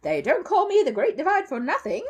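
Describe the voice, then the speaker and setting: Showy stage conjurer for a storybook, performed by a woman: declamatory, mocking, high and swooping. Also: huge pitch swings, a little fast. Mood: teasing menace. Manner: booming, declamatory showmanship. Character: grand showy male stage magician, teasing and theatrical.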